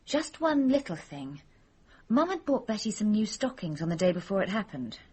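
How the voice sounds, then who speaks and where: A woman's voice: medium pitch, big pitch swings, normal speed, darkish tone, breathy edge. A woman playing a frank, composed young woman being questioned in a radio mystery drama. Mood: subdued, frank.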